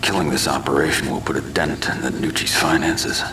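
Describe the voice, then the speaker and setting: An actor playing a grim, weary, menacing male vigilante in a video game, terse and deadpan with a gravelly voice. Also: a bass voice, a little fast, a little hiss or hum, heavily acted. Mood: grimly satisfied. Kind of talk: hard-boiled narration and game acting.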